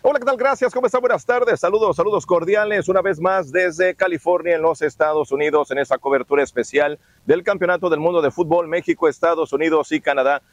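A man's voice: a little high, fast, hardly any pauses, no echo. A man working as a field reporter, giving a formal on-location news report from a bus station. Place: outdoors at a bus and metro station.

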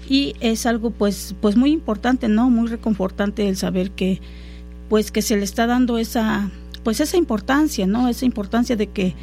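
A woman whose first language is Totonac answers interview questions in a mellow, soft-edged voice. She talks haltingly, circling back with fillers and restarts. Faint music plays underneath. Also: mid-range voice. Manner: hesitant, many fillers and restarts. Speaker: guest interviewee. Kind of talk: conversational interview.

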